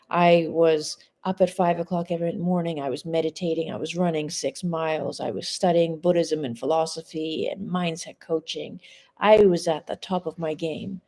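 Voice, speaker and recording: Frank, low-voiced woman in her forties. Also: mellow. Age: 40s. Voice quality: frank, conversational, swears casually. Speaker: mindset coach. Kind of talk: casual live-stream monologue.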